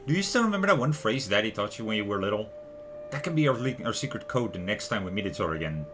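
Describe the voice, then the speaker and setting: A man livestreaming a video game, talking casually and haltingly and reading game text aloud. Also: lowish voice, very animated. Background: soft background music.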